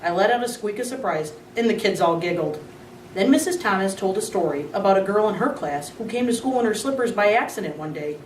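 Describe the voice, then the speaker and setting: A woman reading a children's chapter book aloud in an expressive storytelling manner. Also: medium pitch, a little fast. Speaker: reader. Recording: roomy, distant, faint hum.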